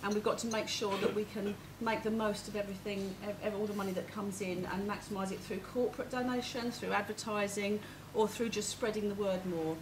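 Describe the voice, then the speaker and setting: A woman with a big, carrying voice talks in a chatty, familiar way, like someone updating supporters informally. Her pitch is average, and there is clear noise in the room behind her. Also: steady tone, fairly quick, few pauses. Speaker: hospice fundraising representative. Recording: noisy room ambience.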